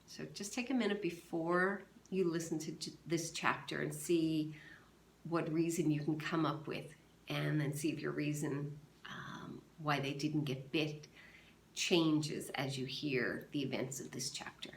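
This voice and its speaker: A woman teacher reading a novel aloud to her class and giving clear, measured instructions. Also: deep voice, fairly even intonation, a little hiss or hum. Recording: echoey room.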